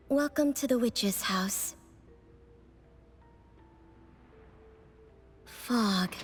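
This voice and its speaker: Mystical fortune-teller witch, game character, unhurried cryptic delivery, oracular calm, soft music behind. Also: a little high, slightly rough. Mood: mystical, trancelike. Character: enigmatic fortune-telling witch, calm and mystical young woman. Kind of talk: scripted video game voice acting.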